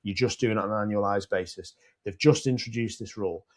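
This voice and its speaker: British male podcast co-host, lowish voice, blunt and profane, lays out long what-if scenarios. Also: quick pace. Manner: plain-spoken, swears, lays out scenarios at length. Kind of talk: casual business chat. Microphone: podcast mic.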